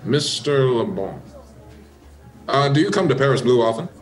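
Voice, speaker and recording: An actor playing a polite, probing American police inspector with measured, courteous questioning in a radio play. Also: male voice, medium pitch, lively intonation, faint music behind, natural voice. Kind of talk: radio play detective dialogue.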